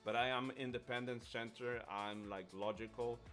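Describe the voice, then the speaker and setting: A man's voice: medium pitch, fairly even intonation, normal speed, a bit bright. Male streamer, mid-range voice, opinionated casual talk that hammers points by repetition, music underneath. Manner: emphatic, repeats himself. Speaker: host of live trading stream. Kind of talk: casual opinionated live chat.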